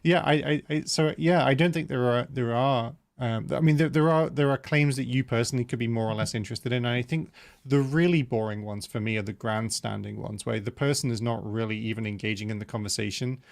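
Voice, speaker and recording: A man's voice: medium pitch, a little fast, gravelly. A man hosting a casual livestream chat. He reads out viewer comments and laughs easily, and he often repeats words as he thinks aloud. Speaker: podcast host.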